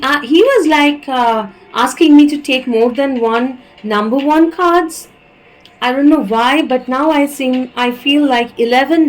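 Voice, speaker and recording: A woman's voice: high-pitched, rough recording. A woman from India with an Indian accent, giving a channelled tarot reading and speaking hesitantly, with many fillers and restarts. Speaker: tarot reader.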